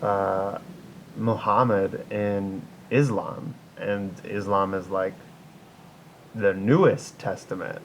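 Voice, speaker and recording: A man's voice: steady tone, slow pace, gravelly. A man co-hosting a casual comedic podcast, thinking aloud in a rambling, wry way. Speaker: podcast co-host. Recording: noisy recording with low rumble.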